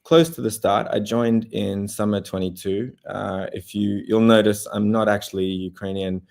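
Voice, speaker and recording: A young Australian man answering interview questions, speaking in halting phrases with many fillers and restarts. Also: on the low side, little pitch movement, creaky, a little mellow. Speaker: journalist guest.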